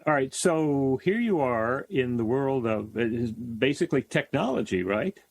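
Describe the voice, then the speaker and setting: Man, talk show host, raspy, swooping intonation, conversational questions, close dry sound. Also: mid-range voice, lively intonation, unhurried, darkish tone, no echo. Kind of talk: conversational interviewing.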